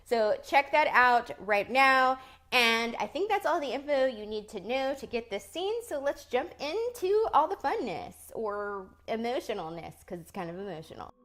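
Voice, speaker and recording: A woman's voice: fairly high pitch, very animated, normal speed, fairly bright tone. A woman hosting a book channel who chats casually and then reads a novel aloud in an upbeat way. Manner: chatty and upbeat. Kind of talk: casual chat and book reading.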